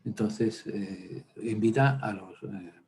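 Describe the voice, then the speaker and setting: A priest lectures on scripture in a low, warm, mellow voice, drifting into casual asides and anecdotes. He talks with frequent hesitations and fillers, like a relaxed teacher working without notes. A faint hiss of background noise sits under the recording. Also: slow pace. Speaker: priest teaching a Bible workshop. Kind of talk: informal Bible lecture.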